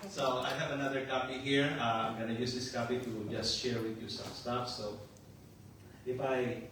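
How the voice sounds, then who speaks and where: An older man and pastor from the Philippines, with a Filipino accent touched by Australian, preaching a relaxed, chatty sermon with jokes. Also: medium pitch, normal speed. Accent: Filipino with some Australian. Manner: joking and chatty, laughs often. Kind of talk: casual sermon. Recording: echoey far-off room mic with noise.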